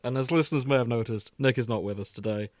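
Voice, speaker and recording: A man's voice: mid-range voice, fast, darkish tone, no echo. Casual male podcast co-host, close dry sound. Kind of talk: casual banter about fandom.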